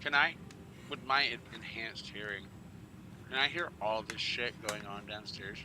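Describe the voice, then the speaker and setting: A man's voice: fairly low pitch, measured pace, pushed and bright. A man playing a tabletop roleplaying game, speaking informally and bluntly as he narrates his character. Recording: noisy, ambient background noise.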